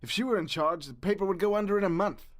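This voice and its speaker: A man's voice: high-pitched, fast talker, close to an everyday voice. A man playing a pompous, callous, rich newspaper owner with a grandiose manner in a comedic audio drama. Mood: self-justifying.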